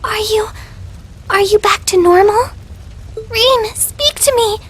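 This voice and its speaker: A gentle, well-born teenage girl in a video game. Her very high, youthful voice is emotional and polite, unhurried in its pleading. Faint ambience lies underneath. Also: slight background noise. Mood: worried relief. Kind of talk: dramatic video game acting.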